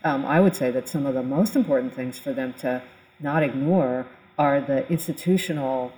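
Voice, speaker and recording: A woman's voice: a bass voice, normal speed, dark tone, low-quality recording. A woman explaining policy conversationally as an expert panelist on a podcast.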